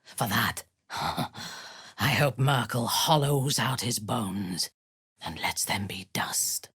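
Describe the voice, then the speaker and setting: An actress playing a ruthless, haughty female warrior from the Underdark in a video game, speaking in a measured, imperious and menacing way. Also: very deep voice, animated, fairly bright tone, husky, dry recording, studio quality, heavily acted. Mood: quiet menace. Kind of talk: video game character voice lines.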